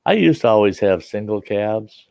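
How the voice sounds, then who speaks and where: A man's voice: on the low side, lively intonation, moderate pace, gravelly, darkish tone, no room sound, clean studio sound. An older man with a slightly stuffy nose, chatting and reminiscing in a folksy, rambling way as he hosts a casual livestream from home. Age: 60s or older. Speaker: livestream host and storyteller. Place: indoors at home.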